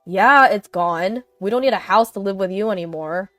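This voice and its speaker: A woman's voice: medium pitch, moderate pace, a bit bright, dry recording, soft background music, natural voice. Resolute weary father for audio story, voiced by a woman, blunt delivery, dry recording. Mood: cold, firm. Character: firm, weary, responsible adult son and father.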